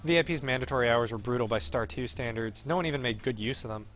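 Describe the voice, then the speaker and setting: A man's voice: medium pitch, fast, a bit bright. A man streaming a visual novel, reading the story aloud as live commentary. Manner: puts on voices for the characters. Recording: music behind the voice.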